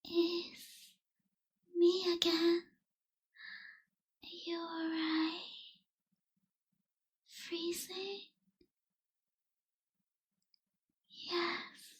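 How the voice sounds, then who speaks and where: Woman voicing a very high, soft, slow vampire girl. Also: level tone, full character voice. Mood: tender, concerned. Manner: soft-spoken, often breathy and whispery, hesitant, tender. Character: gentle, caring young female vampire tending a prisoner. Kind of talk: ASMR roleplay, intimate scripted acting.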